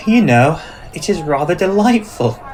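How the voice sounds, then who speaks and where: A man's voice: fairly high pitch, big pitch swings, darkish tone, rough recording. Man playing a courtly, commanding ancient vampire. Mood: dryly pleased. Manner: formal, measured, commanding phrasing. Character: ancient, suspicious, courtly dominant male vampire. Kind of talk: scripted ASMR roleplay acting.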